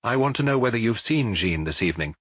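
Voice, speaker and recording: A man voicing a brisk, suspicious provincial police inspector in an audiobook, businesslike and insistent. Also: mid-range voice, expressive, fairly bright tone, no room sound.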